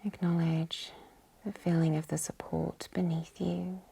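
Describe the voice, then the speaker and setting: A woman leading a guided yoga nidra meditation in a soft, whispery, breathy voice, calm and gentle. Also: lowish voice, measured pace. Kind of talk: guided meditation, slow hushed instruction.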